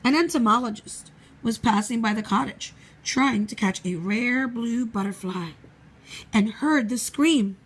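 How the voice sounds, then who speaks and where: A woman storyteller narrates a children's tale. Her voice is fairly high and husky, and she reads very slowly with measured expression. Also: low-quality recording.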